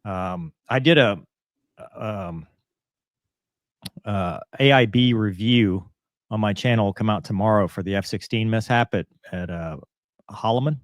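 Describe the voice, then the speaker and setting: A man hosting a casual aviation discussion, speaking in a halting, earnest, conversational way. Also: lowish voice, no echo, studio quality. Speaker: show host and commentator.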